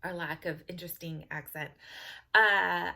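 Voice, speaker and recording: A Californian woman talking to her subscribers in a loose, rambling chat full of little laughs and fillers. Her voice is bright and forward. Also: on the low side, steady tone, unhurried, gravelly. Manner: chatty, giggly, rambling with fillers. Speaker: vlogger. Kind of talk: casual talk to camera.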